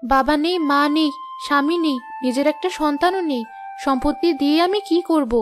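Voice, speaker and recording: A woman's voice: sits high, brisk, over music, lightly acted. A woman voicing a sorrowful, wronged but proud young widow in a plaintive, dramatic cartoon style. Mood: sorrowful, resigned. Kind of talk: Bengali moral-story cartoon voice acting.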